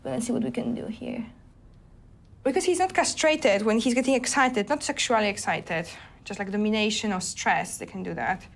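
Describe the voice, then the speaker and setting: A woman's voice: a little high, normal speed, gravelly. A young adult woman vet, chatty, explaining an exam step by step in a clinic room.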